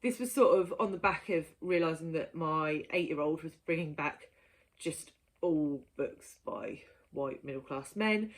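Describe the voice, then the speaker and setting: A woman's voice: sits low, measured pace. Chatty woman book vlogger. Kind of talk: casual chat to camera.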